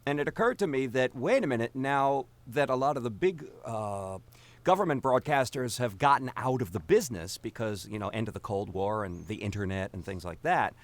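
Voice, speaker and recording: Casting: male podcast regular, very animated pitch, casual storyteller who stumbles over words and acts out exchanges. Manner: halting repetitions, acts out reported conversations. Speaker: podcast cast member.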